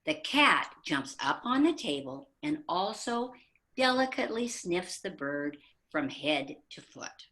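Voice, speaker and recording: A woman narrating a short comic story for a readers theater program. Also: on the low side. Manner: slow, expressive storytelling.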